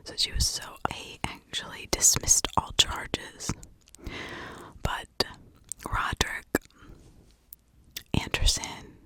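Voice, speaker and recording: An American woman whispering an ASMR true crime story in a hushed, informal, conversational way. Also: slow pace, pauses often, poor audio.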